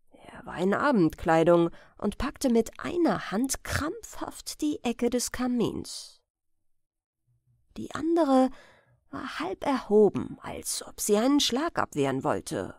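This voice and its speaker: Woman, audiobook narrator, scripted crime story, slow deliberate delivery, dry close recording. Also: mid-range voice, creaky, darkish tone, dry recording.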